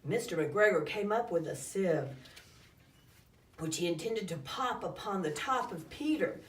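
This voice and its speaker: Echoey, distant woman reading a storybook. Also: mid-range voice. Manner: dramatic, acts out calls and sound effects. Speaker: storyteller reading a children's book aloud. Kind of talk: expressive read-aloud storytelling. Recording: echoey room, distant microphone.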